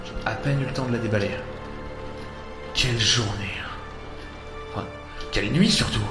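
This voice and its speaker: A man's voice: fairly low pitch, moderate pace, natural voice. An actor playing a weary, sardonic male adventurer in an audio drama, speaking in a dry manner. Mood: weary, brooding. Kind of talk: audio drama dialogue and inner monologue. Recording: echoing, long reverb, music underneath.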